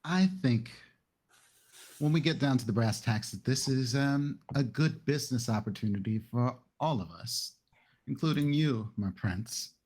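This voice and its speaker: A man playing a diplomatic, self-assured vampire businessman in a roleplay game, courteous and deliberate. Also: medium pitch, darkish tone, lightly acted. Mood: calm, persuasive.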